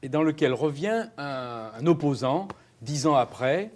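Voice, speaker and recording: A man hosting a TV literary interview, brisk and prodding, often cutting in to steer the guest. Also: fairly high pitch, animated. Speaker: TV interviewer, host.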